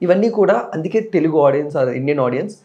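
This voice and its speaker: A man's voice: fairly high pitch, lively intonation, fast, warm tone, dull audio. A man interviewing a guest, asking questions politely in Telugu with English phrases. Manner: polite, addresses guest as ma'am.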